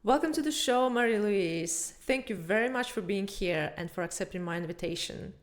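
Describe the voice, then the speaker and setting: Female podcast host, courteous read intro; clear music bed behind. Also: medium pitch, animated, normal speed. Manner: polite, scripted introduction. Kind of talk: podcast interview.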